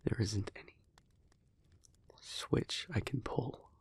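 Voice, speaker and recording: A man playing a gentle, solemn, remorseful demon in an intimate audio roleplay, speaking to the listener in a breathy, halting, earnest near-whisper. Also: on the low side. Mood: apologetic, earnest. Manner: slow, halting, earnest, with long pauses.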